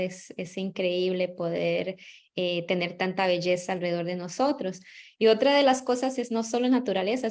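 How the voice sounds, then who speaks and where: A woman with a Costa Rican accent speaks as an interview guest. Her pitch is average for a woman. She talks conversationally and reflectively, often circling back to rephrase. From Costa Rica. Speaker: guest psychologist.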